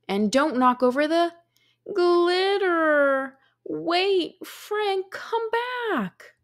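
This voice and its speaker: A woman voicing a busy, excitable little girl in a storybook, dramatic and exclamatory, stretching out her words. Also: high-pitched, heavily acted. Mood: excited.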